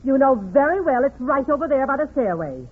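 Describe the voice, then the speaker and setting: A woman's voice: high-pitched, very animated, brisk, narrow, tinny audio. A woman playing a bossy, domineering wife in 1940s radio comedy, curt and commanding. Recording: very noisy, band-limited 1940s radio, audience behind.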